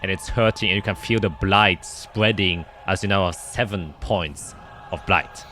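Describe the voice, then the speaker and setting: A man's voice: low-pitched, unhurried, bright, forceful tone, faint music behind. A man narrating a tabletop roleplaying game as game master, describing scenes and calling out dice results.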